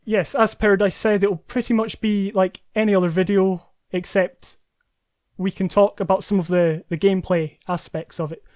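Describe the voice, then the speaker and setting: A man's voice: sits high, steady tone, moderate pace, dry recording, studio quality. A man hosting a gameplay video, chatty and self-deprecating as he thinks aloud. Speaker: host. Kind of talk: gameplay commentary.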